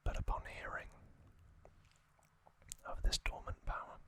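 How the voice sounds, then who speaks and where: An ASMR host whispering a story recap in hushed, deliberate short phrases.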